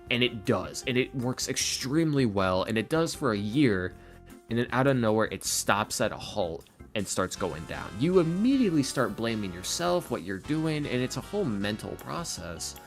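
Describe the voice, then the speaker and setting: A man speaking casually and candidly as a podcast guest, earnest, confiding and self-deprecating. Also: medium pitch. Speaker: podcast guest, ASMR roleplay audio creator. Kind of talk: casual, candid interview talk.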